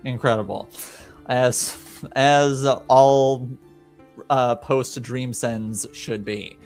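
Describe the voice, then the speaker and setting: Slow-talking man running a tabletop game. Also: mid-range voice, fairly even intonation, a little mellow, soft background music. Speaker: game master. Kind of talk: casual tabletop game narration.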